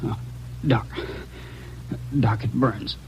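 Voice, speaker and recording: A mortally wounded policeman, gasps out halting, repeated phrases in pain. He sounds dazed and pleading. The old recording is muffled, with a faint hiss. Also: fairly low pitch, steady tone. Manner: halting, repetitive, gasping in pain. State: in pain, struggling for breath. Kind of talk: 1940s radio acting.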